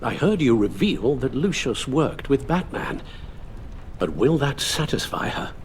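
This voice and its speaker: Older british butler, formal and worried, very expressive, noisy ambience behind. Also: male voice, mid-range voice, a little slow. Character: loyal older male butler, anxious, fatherly, formal. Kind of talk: video game voice acting.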